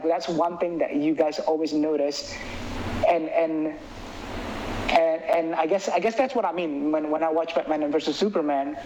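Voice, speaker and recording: A man from Indonesia with an Indonesian accent, chatting casually as an interviewed filmmaker in an animated, rambling way full of filler phrases. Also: fairly high pitch, highs cut off, background noise. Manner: animated, rambling, peppers talk with "like" and "you know". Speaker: filmmaker and writer being interviewed.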